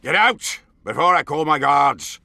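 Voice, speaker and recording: A man's voice: a little high, sing-song, a little slow, vocal fry, bright, forceful tone, dry recording, heavily acted. A man playing a haughty, scornful nobleman in a fantasy video game, with imperious, formal diction. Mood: angry, commanding. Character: haughty scornful nobleman, baron vying for a throne. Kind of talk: dramatic fantasy game acting.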